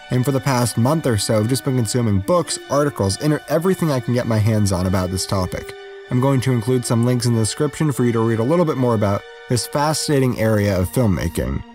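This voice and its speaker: A man narrates an educational video essay in scripted, explanatory style. His voice sits at a medium pitch, with music playing behind it. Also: darkish tone.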